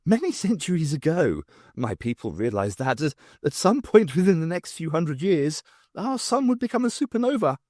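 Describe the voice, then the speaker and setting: Man putting on a high-voiced ancient alien poet. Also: sing-song, moderate pace, darkish tone, dry recording, studio quality. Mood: eager reminiscing. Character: ancient male alien poet, wistful rebel, last survivor.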